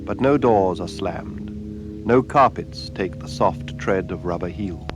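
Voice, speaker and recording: An actor playing a solemn storyteller, narrating a literary radio drama in a measured, deliberate way. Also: male voice, sits low, music in the background. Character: solemn male storyteller observing an empty house.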